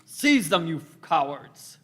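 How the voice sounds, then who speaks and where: A stern leader of ancient Egyptian soldiers, acted by a man for an amateur radio play. The voice sits fairly high for a male, and the delivery is declamatory and commanding. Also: very animated, slow pace, a bit bright, exaggerated voice. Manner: barking, declamatory orders.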